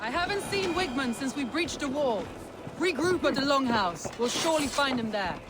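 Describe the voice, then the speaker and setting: An actress playing a proud, determined Viking jarl in a video game, with a commanding, declamatory delivery. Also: female voice, high voice, animated, moderate pace. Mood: furious. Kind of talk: video game cutscene acting.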